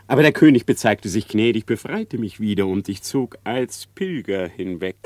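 An actor playing a sly, scheming male fox in a radio play, smooth and mocking with chuckles. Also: mid-range voice, no room sound. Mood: gloating. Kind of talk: classic radio play acting.

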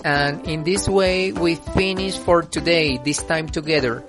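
Slow, careful woman teaching English. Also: low voice, music in the background. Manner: slow, careful, repeating example phrases. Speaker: English teacher on a school radio program. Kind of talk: slow scripted radio lesson.

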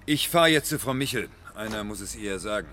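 A man's voice: lowish voice, expressive, a little fast, background noise, lightly acted. An actor playing a male government minister, curt and questioning with authority, in a radio drama. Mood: tense, curt.

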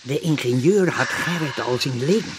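An actor as the wry narrator of a comic radio play, reading scripted narration. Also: male voice, medium pitch, lively intonation, normal speed, lightly acted. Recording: clearly hissy old radio recording.

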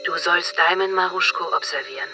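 High-voiced, terse man acted under loud music. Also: a little fast, close to an everyday voice. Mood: matter-of-fact. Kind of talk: radio drama acting.

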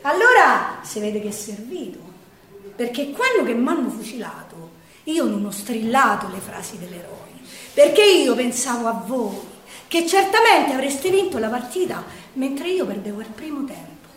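A woman's voice: on the high side, big pitch swings, normal speed, fairly bright tone. An actress playing a weary, earthy working-class Roman woman, telling her story in a colloquial theatrical monologue with a Roman accent. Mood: wry, reflective. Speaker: stage actress. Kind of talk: theatrical dialect monologue in verse. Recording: roomy, distant stage recording.

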